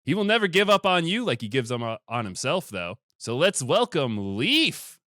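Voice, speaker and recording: Male podcast host, booming and playful; animated mock-announcer reads, close dry sound. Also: normal speed, no echo. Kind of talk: casual chat and comic introductions.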